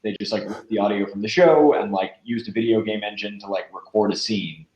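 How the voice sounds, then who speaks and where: A man's voice: fairly low pitch, fairly quick, a little mellow. A man chatting casually as an interview guest, talking in a loose, informal way with many asides. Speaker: podcast creator, interview guest. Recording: roomy echo, dull lo-fi sound.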